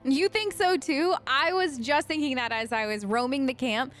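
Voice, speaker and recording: A woman's voice: high-pitched, moderate pace, a bit bright, faint music behind. A woman giving casual, wry gaming commentary as a let's play host, chatting in character on a close mic.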